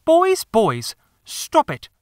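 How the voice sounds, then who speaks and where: A man's voice: very high voice, huge pitch swings, drawn-out words, no room sound, studio quality, pitched above the performer's own voice, heavily acted. A man voicing a sensible young girl, a prim and motherly peacemaking sister, in an audiobook story. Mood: prim, firm.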